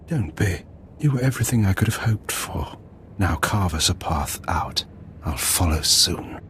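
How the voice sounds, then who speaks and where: An actor playing a bitter, grandiose revolutionary leader in a video game, speaking in deliberate, theatrical speeches. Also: male voice, low-pitched, lively intonation, a bit bright, a little hiss or hum. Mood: weary resolve.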